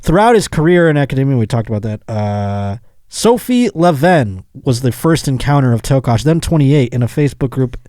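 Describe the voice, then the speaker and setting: A man's voice: mid-range voice, animated, moderate pace, warm tone, no echo. Sardonic male podcast host, dry close sound. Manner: sardonic, riffs with mocking asides and profanity. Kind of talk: casual comedy chat with reading aloud of news stories.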